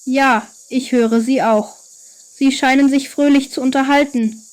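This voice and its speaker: A woman's voice: high-pitched, steady tone, unhurried, darkish tone. A woman voicing a friendly, curious and reassuring young boy in a children's bedtime story.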